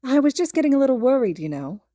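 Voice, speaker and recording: A woman voicing a cheerful, anxious young mother in an audiobook story, chatting in a light, animated, gossipy way. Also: high-pitched, quick pace, no room sound, studio quality, pitched above the performer's own voice. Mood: anxious, sheepish.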